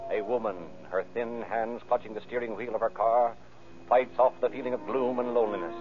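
A man narrating a vintage radio crime drama in an ominous, measured, florid manner. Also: mid-range voice. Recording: tinny narrow-band old radio recording. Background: loud dramatic music.

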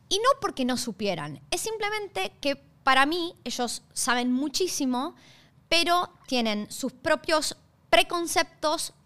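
A woman's voice: very high-pitched, a bit bright. A woman with an Argentine accent hosting a podcast, talking conversationally in an explanatory way and checking in with listeners. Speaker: podcast host.

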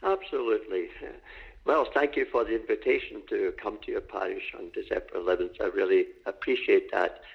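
Older Glasgow man, gravelly and husky. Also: on the low side, brisk, muffled recording. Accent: Scottish, Glasgow. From Scotland. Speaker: guest deacon. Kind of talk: podcast interview.

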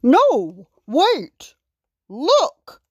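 A woman voicing a commanding, reassuring animal king in a children's story, loud and proclaiming. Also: high-pitched, sing-song, slow pace, no room sound, clean studio sound, voice pushed up, full character voice.

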